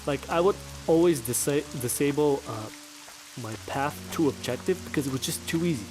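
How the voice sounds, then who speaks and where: A man giving casual let's play commentary, thinking aloud in a halting way. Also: on the high side, over music.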